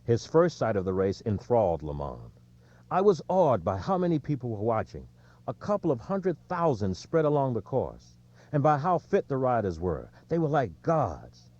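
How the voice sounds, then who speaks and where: A man reading a nonfiction sports audiobook in a measured storytelling way, with emphasis on the quotes. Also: mid-range voice, huge pitch swings, a little mellow, no echo, muffled recording. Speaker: audiobook narrator. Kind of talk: scripted nonfiction narration of a sports book.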